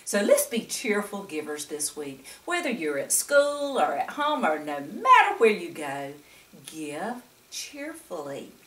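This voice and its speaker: A woman's voice: fairly low pitch, very animated, unhurried, fairly bright tone. An older woman reading a story and teaching a Bible lesson to children in a grandmotherly way, with questions and asides. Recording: echoey room, distant microphone.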